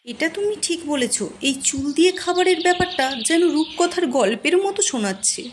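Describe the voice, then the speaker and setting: A woman's voice: extremely high pitch, expressive, fairly quick, slight background noise. A woman playing a chatty, curious villager in a cartoon, with a gossipy, incredulous manner. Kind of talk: cartoon moral-story dialogue. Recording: echoey sound with music behind.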